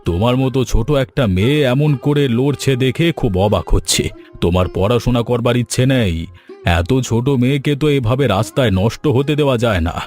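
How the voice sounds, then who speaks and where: A man playing a kindly, protective gentleman in a cartoon drama, speaking in an earnest, fatherly way. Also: medium pitch, moderate pace, soft background music, close to an everyday voice. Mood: concerned. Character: kindly, protective middle-class gentleman who adopts a village girl. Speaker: cartoon character voice.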